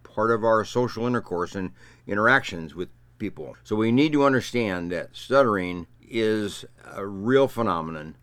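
A man who says he stutters, talking as a speech therapist and explaining his points with measured, emphatic repetition. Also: lowish voice, no echo. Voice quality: stutters at times. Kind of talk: explanatory talk to camera.

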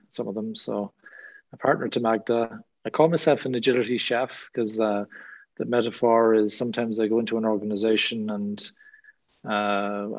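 Low, flat-voiced Irish man. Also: dry recording. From Ireland. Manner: discursive, many "uh" fillers. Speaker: guest trainer. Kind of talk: webinar Q&A talk. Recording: dull lo-fi sound.

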